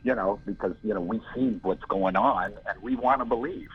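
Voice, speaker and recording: A man in his sixties with a gravelly, gruff voice, giving a rambling, reflective interview answer with lots of fillers. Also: lowish voice, normal speed. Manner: rambling, reflective, many "you know" fillers. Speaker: interview guest, filmmaker. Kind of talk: casual conversational interview. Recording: dull lo-fi audio.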